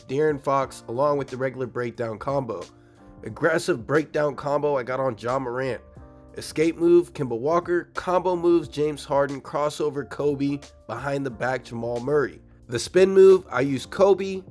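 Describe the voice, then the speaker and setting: A young man with a slightly raspy voice from a cold, casually breaking down a video game build for his viewers. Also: mid-range voice. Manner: fast, casual, addresses viewers directly. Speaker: gaming YouTuber. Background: faint background music.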